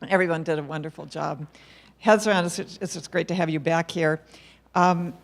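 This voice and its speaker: A woman in the audience of a conference panel, praising the speakers warmly before she asks her questions, heard from some distance off the mic. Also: deep voice, steady tone, moderate pace, gravelly. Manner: effusive, full of praise. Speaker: audience member asking a question. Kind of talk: question from the floor.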